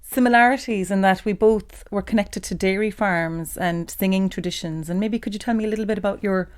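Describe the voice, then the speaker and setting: A woman conducts an informal interview at an average pitch. Her questions come conversationally. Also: brisk, clear, resonant tone. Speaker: interviewer, composer.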